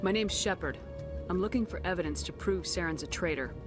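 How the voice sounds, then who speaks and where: A woman's voice: on the low side, a little fast, music in the background, natural voice. An actress voicing a firm, principled female military commander in a video game, direct and commanding. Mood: composed.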